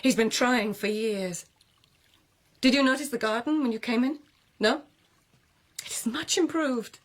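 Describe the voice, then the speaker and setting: Eloquent, defiant court lady. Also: a little high. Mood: candid. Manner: dramatic, rhetorical, deliberate phrasing with pointed irony. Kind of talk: radio drama acting.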